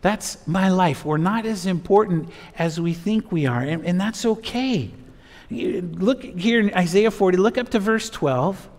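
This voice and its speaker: A man's voice: high-pitched, measured pace, a little mellow. A man in his fifties, a pastor preaching and reading scripture in emphatic short phrases in a church. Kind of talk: expository sermon with Bible reading. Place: church sanctuary, at a pulpit. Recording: reverberant church hall sound.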